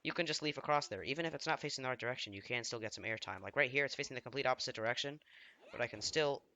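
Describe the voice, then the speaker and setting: A man with a slightly hoarse voice from feeling unwell, giving rambling, self-deprecating commentary while he plays a video game. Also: mid-range voice, rapid-fire, pushed and bright, muffled recording, a little hiss or hum. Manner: rambling, self-deprecating, trailing off mid-sentence. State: slightly hoarse, under the weather. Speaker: let's play gamer. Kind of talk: casual gameplay commentary.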